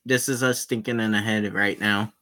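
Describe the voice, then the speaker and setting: A game streamer of unclear sex reading a visual novel aloud, halting and explaining who is speaking or thinking. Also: medium pitch, steady tone, bright, forceful tone. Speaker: gaming streamer. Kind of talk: live gaming commentary, reading visual novel dialogue aloud.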